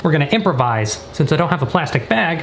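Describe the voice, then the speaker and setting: A young man vlogs with dry, deadpan humor, his pitch jumping up and down as he jokes. He sounds like he is in an echoey kitchen, picked up by a camera with clear background noise. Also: fast talker. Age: 20s. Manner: deadpan, joking asides. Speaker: vlogger. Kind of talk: comedic cooking vlog chat. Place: indoors, small apartment kitchen. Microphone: camera mic at a short distance.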